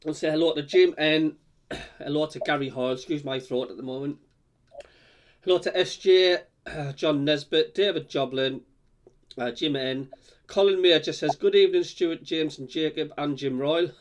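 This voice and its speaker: A chatty man with a Sunderland accent hosts a live fan show. He speaks a touch high, with plenty of fillers, and a tickly cough keeps catching his throat. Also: normal speed. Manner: chatty, reads out viewer messages with fillers. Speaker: podcast host. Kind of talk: casual fan chat.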